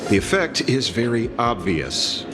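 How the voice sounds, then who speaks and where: Wry, very expressive game companion. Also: male voice, medium pitch, a little slow. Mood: wry, approving. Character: wry, skeptical male companion, thoughtful and mild. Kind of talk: anime-style game voice acting. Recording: loud music behind the voice. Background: loud game music.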